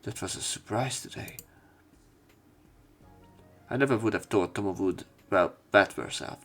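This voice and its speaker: A man's voice: lowish voice, measured pace, fairly bright tone, faint music behind. A man reading visual novel narration aloud as a let's player, with casual commentary.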